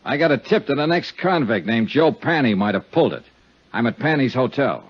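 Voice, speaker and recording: An American actor playing a wry, hard-boiled insurance investigator in a 1950s radio drama, with clipped, punchy delivery. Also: male voice, medium pitch, expressive, fairly quick, breathy edge. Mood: brisk, serious. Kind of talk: 1950s radio detective drama acting, narrated expense account. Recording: dull 1950s radio recording with music.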